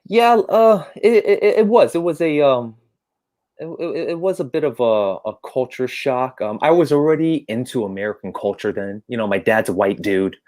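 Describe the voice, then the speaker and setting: A man's voice: medium pitch, darkish tone. A man in his thirties, raised in Hong Kong with Cantonese as his first language, chatting as a guest with frequent restarts and laughs. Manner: halting, restarts often, laughs between stories. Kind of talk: casual interview chat.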